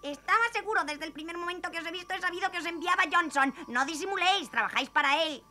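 Shrill, indignant little alien creature. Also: fast, pushed and bright, heavily acted. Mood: plaintive, accusing. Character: small indignant shelled alien creature, fretful and accusing. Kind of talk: dubbed children's cartoon acting.

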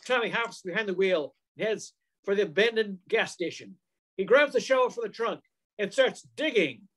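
A man's voice: high voice, animated, normal speed, fairly bright tone, a little hiss or hum. A man in his sixties reading scripted narration for an old-time radio crime drama, recorded at home on a video call. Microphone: computer mic on a video call.

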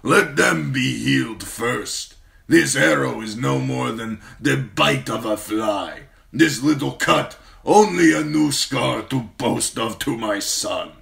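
A man voicing a fierce, proud male warlord who speaks slowly and loudly in a commanding way. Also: lively intonation, gravelly, full character voice. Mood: stubborn. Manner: slow, loud and booming.

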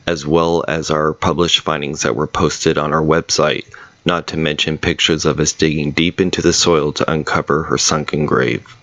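A man giving a measured, serious scripted voice-over narration about a local ghost story. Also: very deep voice, fairly bright tone, no echo. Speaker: paranormal investigator narrator.

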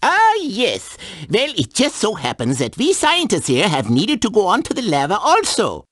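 A woman playing an excitable, flustered scientist in a video game, stammering and exclaiming. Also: mid-range voice, moderate pace, no echo, studio quality. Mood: explanatory. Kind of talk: animated video game character dialogue.

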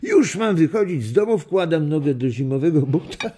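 An actor playing a warm old grandfather who reminisces in an animated way in a children's radio play. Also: a little high, slight background noise, close to an everyday voice. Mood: storytelling. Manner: animated storytelling with chuckles and drawn-out words.

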